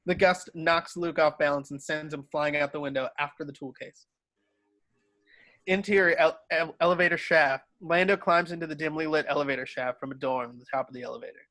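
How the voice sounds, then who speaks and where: A man's voice: on the high side, steady tone, a little fast, bright, forceful tone, dry recording. A man narrating scripted stage directions for a table read.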